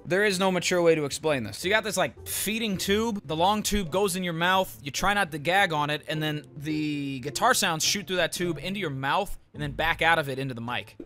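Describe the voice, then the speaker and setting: A man with a high-pitched, bright voice explains things to viewers. He is informal and jokey, with a crude sense of humour. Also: brisk, few pauses, soft background music. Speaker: guitarist and video creator. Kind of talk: joking explainer narration to viewers.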